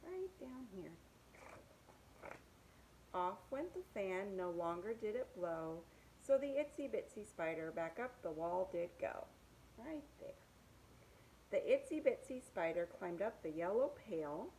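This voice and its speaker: A woman reads picture books aloud for young children at a slow, unhurried pace. Her pitch sits in the middle for a woman, and she delivers rhymes in a sing-song way with simple asides to the listener. There is some background noise in the recording. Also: big pitch swings, dark tone, low-quality recording.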